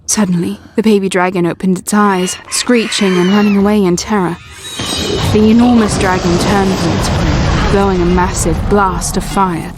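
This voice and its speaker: A woman reading scripted fairy-tale narration in a storybook storytelling manner. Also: mid-range voice.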